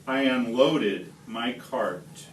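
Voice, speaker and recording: Slow, dramatic man reading a storybook aloud. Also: medium pitch. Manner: deliberate, dramatic phrasing with pauses. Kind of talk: expressive picture-book read-aloud in rhyme. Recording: roomy, distant home video with slight noise.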